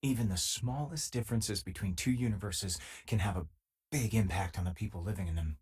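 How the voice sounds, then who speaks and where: A man playing an easygoing, wry space-faring companion in a video game, talking casually in a husky voice. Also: on the low side, a little mellow. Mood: wry, teasing. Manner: casual, dryly teasing, hesitant pauses. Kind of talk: video game voice lines, conversational.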